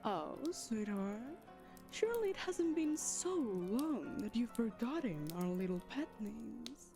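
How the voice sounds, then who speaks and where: Ancient, vain vampire mistress played by a woman: unhurried, highly animated, sugary and condescending, audio roleplay over faint music. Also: gravelly, exaggerated voice. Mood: sweetly chiding. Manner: syrupy, condescending, theatrical. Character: ancient female vampire mistress, saccharine, possessive, callously vain.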